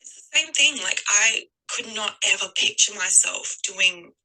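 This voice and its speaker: A woman in her twenties talking unscripted and from the heart on a call, earnest and effusive, with halting, emphatic pauses. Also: medium pitch, lively intonation, sharp tone, low-quality recording. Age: 20s. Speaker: guest on a call.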